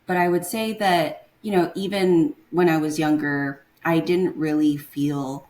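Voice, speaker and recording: Young woman guest from Taiwan, low voice, chatty and hesitant, lots of fillers. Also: fairly even intonation, measured pace, a little mellow. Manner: hesitant, searching for words with many fillers. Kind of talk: casual interview conversation.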